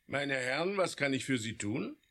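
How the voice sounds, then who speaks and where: An actor playing a courteous, skeptical government under-secretary in a German radio play, formal and slightly patronizing. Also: male voice, mid-range voice, big pitch swings, normal speed, creaky, pushed and bright, heavily acted. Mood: formal, puzzled. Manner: formal, polite, dismissive.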